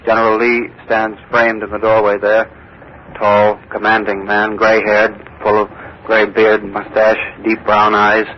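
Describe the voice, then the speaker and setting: An earnest male news correspondent, played by a radio actor, reporting as if live from history. He speaks in measured, dramatic phrases with weighty pauses, in 1940s radio style. The recording is a dull, hissy old broadcast. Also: fairly low pitch, completely flat delivery, close to an everyday voice. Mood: solemn, hushed.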